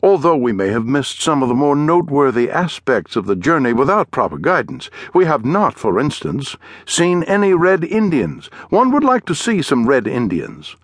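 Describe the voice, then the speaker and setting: An upper-class English gentleman adventurer, pompous and endlessly chatty. The voice sits high and leaps about in pitch, effusive and cheerful, in the manner of an audiobook character. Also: sing-song, moderate pace, few pauses, no room sound. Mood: breezy, self-satisfied.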